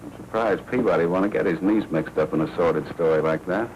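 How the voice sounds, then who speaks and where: A noisy old film soundtrack carries a man acting as a 1940s American noir mob boss. His voice is low, and he talks in tough slang with a menacing, needling, sardonic edge. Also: fast talker, a little mellow, dull audio, natural voice. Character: menacing, sardonic crime boss, jealous husband.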